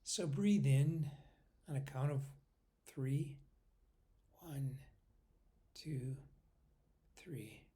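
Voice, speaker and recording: Slow-paced man guiding a meditation. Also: mid-range voice. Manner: unhurried, pausing between short phrases, often trailing off softly. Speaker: meditation guide.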